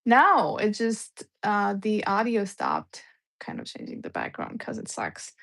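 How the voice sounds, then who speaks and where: A woman's voice: mid-range voice, wildly swooping pitch, a little slow, creaky, a bit bright. A woman guest on a video call, talking reflectively and casually, with many fillers. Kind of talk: casual conversation on a live stream.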